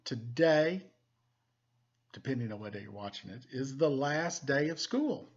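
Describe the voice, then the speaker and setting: A man's voice: mid-range voice, lively intonation, a little slow, highs cut off. A man hosting story time, reading a picture book aloud to children with playful, self-deprecating, chatty asides.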